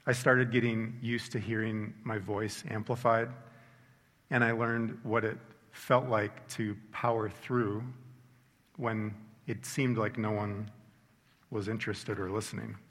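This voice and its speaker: Earnest middle-aged man, low voice, reverberant hall. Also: little pitch movement, fairly bright tone. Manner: sincere, measured, with slow emphatic pauses. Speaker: speaker giving a personal faith testimony. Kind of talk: earnest reflective testimony talk.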